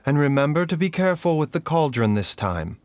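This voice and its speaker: An actor playing a calm, courteous doctor who speaks in a measured, formal, precise way, as in a video game. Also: male voice, fairly high pitch, noisy background. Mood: measured, grave. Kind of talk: scripted video game voice acting. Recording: music behind the voice. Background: background music.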